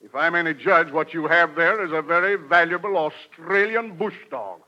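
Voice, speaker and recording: Pompous, sharp-voiced bluffing uncle. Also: male voice, fairly high pitch, normal speed. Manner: pompous, stammering when cornered. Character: pompous, wheedling, tightfisted uncle full of bluff. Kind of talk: 1940s radio sitcom acting. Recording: muffled 1940s radio recording.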